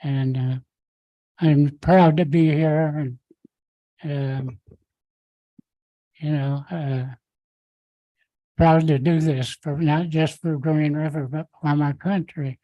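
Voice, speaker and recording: An older man speaking at a council meeting's public comment, halting and rambling with many fillers. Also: on the high side, fairly even intonation, no echo, dull audio.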